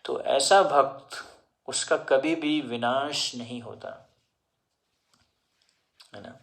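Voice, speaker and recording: Guy giving a slow spiritual talk, kinda gravelly, quotes verses and explains them. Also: medium pitch, a bit bright. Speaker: devotional speaker. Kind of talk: Hindi religious discourse with scripture quotes.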